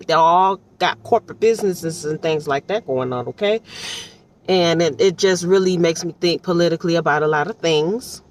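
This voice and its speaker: A woman from Detroit with an American accent gives casual, rambling commentary in a slangy, conversational way full of asides. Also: on the low side. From Detroit, United States. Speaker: audiobook reviewer. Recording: distant mic with faint background noise.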